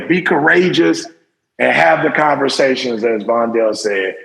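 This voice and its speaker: Gravelly-voiced guy hosting a chat, acts out quotes, pretty lively. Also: medium pitch, low-quality recording. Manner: animated storytelling, acts out quotes. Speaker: podcast host. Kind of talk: casual panel chat.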